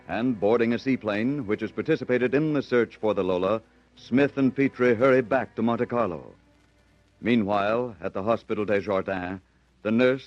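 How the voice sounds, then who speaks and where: A male announcer delivers scripted old-time radio narration in a lowish voice, formal and dramatic with careful diction. The sound is dull and lo-fi, with music behind him. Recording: dull vintage radio recording.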